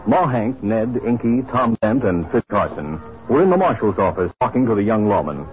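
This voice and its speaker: A man's voice: lowish voice, big pitch swings, normal speed. A man with an American accent, narrating dramatically as a 1950s radio announcer. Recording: muffled 1950s radio recording. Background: music.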